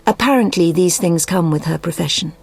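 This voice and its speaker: An actress playing a stern, motherly priestess healer in a video game, with a raspy, creaky voice. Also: on the low side, brisk, faint music behind. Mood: firm, caring.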